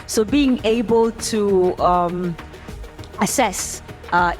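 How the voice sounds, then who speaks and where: A woman from Uganda, speaking as a panelist in a thoughtful, unhurried way with many ums and uhs. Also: medium pitch. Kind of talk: panel discussion answer.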